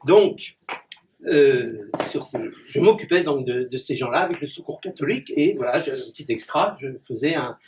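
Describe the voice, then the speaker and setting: A man's voice: medium pitch, expressive, moderate pace. A man who is a clown and poet, reciting rhyming comic poems to a small gathering and chuckling at his own puns. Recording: distant mic with background noise.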